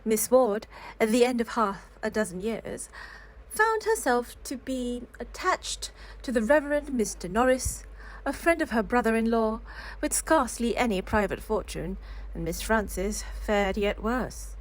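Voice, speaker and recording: A woman reading classic literary fiction aloud in a calm, cozy storytelling style. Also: fairly high pitch, unhurried, low-quality recording. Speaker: reader of classic novels aloud.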